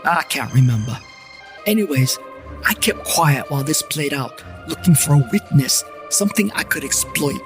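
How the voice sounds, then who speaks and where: A man narrating a comedic first-person paranormal story in a wisecracking, deadpan manner, over background music. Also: on the high side, normal speed. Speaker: narrator and lead character, a paranormal investigator.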